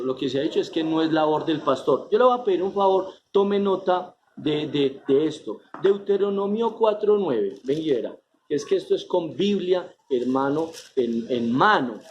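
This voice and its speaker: A man's voice: high-pitched, slight background noise. A man preaching a sermon as pastor, stressing words by drawing them out and slipping in joking asides. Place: church. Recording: dull lo-fi sermon recording.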